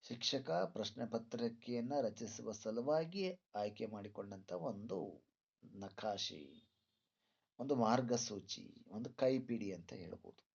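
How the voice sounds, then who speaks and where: A man's voice: on the low side, animated, takes it slowly, gravelly, darkish tone, slightly rough. A male teacher lecturing students through exam questions, didactic, repeating points and posing rhetorical questions. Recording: dull lo-fi sound.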